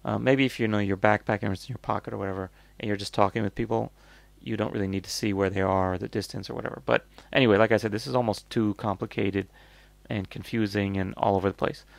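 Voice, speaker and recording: Low, creaky-voiced North American man reviewing casually. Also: fairly even intonation, fast talker, no room sound. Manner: rambling, blunt, thinking aloud. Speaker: product review host. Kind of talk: casual off-the-cuff review commentary.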